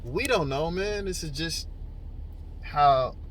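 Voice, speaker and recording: A man in his early forties with a slightly high voice talks casually to camera. His delivery is slangy and joking, like an off-the-cuff video blog. There is a light rumble of car-like noise behind him. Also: expressive, slow pace, a bit bright, rough recording. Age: early 40s. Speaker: video blogger.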